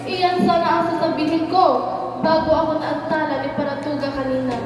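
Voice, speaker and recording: Woman as lovestruck legendary maiden, earnest and declamatory, high voice, distant in a reverberant hall. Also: flat delivery, brisk, lightly acted. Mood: earnest confession. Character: young maiden of legend, daughter in love, earnest. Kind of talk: amateur radio drama acting. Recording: echoey hall, distant, music behind.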